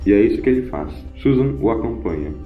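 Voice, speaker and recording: Male narrator for audio fiction, scripted storytelling, lower register, roomy lo-fi recording. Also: expressive, quick pace. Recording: boxy, echoey lo-fi with music. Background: music.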